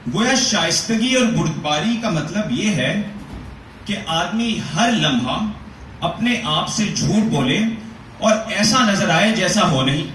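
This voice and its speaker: A man reads a literary essay aloud with a slow, deliberate, rhetorical delivery. His voice sits fairly high. The recording is roomy and echoey, with the mic set back from him and a faint hiss underneath. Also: animated, a bit bright. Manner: measured, rhetorical, questioning delivery. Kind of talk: dramatic reading of Urdu prose. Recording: echoey room, voice from a distance, faint noise.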